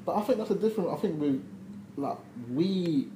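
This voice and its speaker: Laid-back young man, lively pitch. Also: normal speed, slight background noise. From Sweden. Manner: laid-back, laughs often, lots of agreeing fillers. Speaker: podcast host. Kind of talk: casual chat. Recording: echoey room.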